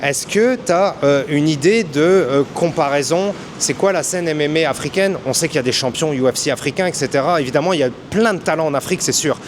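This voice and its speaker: Rambling French-speaking podcast interviewer. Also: male voice, fairly high pitch, a little fast, hardly any pauses, slight background noise. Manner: rambling questions with many fillers. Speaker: podcast host. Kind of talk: interviewer asking questions. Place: boxing gym.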